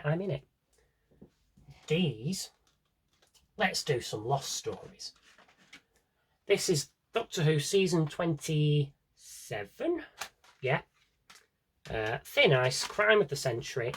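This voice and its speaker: A man with a slightly husky voice rambles casually to viewers as a collector, thinking aloud and interrupting himself in a wry way. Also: on the high side, very animated, slow pace, long pauses, a bit bright, a little hiss or hum. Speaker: collector showing his audio drama CDs. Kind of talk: casual rambling vlog chat.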